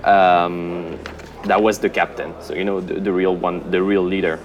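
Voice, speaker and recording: Relaxed low-voiced man, halting answers. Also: lo-fi sound. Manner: relaxed, many ums and uhs, self-deprecating humor. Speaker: senior game producer. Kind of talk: casual interview answers.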